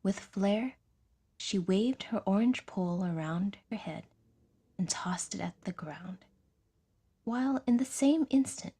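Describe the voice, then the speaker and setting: A woman narrates scripted fiction for an audio drama. Her delivery is slow and her tone is mellow, with a husky grain to it. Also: lowish voice.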